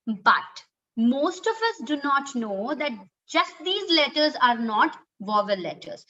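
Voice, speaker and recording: Bright-voiced Indian English woman lecturing. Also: high-pitched. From Karnataka, India. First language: Kannada. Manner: earnest, instructive, many fillers like "uh" and "okay". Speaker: webinar speaker on communication skills. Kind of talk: online lecture. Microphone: computer mic on a video call. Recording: dull lo-fi webinar audio.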